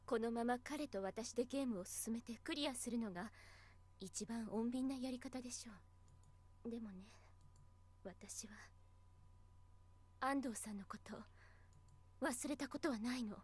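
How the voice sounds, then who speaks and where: A woman voice actor playing a grieving, determined but gentle teacher in a Japanese visual novel, earnest and soft-spoken. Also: on the high side, a little fast, long pauses, slightly rough. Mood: grave, resolute.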